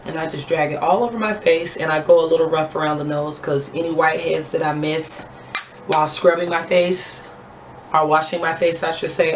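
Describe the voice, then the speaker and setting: A young woman with a raspy, low voice chatting heartfelt and casual as a beauty vlogger while doing her skincare at home. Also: a little hiss or hum. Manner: chatty, heartfelt, thanks God often.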